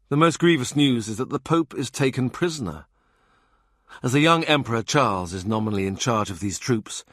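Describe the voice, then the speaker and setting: Brief: male audiobook reader, mid-pitched, steady and measured, recorded close with no room sound. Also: dry recording. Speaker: audiobook narrator. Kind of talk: scripted narration of a historical novel.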